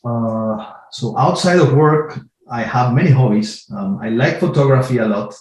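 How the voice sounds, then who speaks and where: A man from Argentina, a guest in an interview, talking in a measured way with many pauses and repeated words while he thinks. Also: mid-range voice, a little mellow. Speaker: guest, IT team lead. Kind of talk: casual interview talk. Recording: roomy echo.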